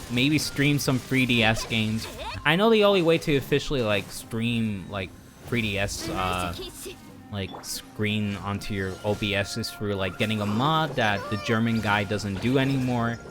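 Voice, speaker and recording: A man chatting casually and informally as a game livestreamer, rambling with opinionated, exasperated asides. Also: medium pitch. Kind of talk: casual rambling stream chat about games. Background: background music.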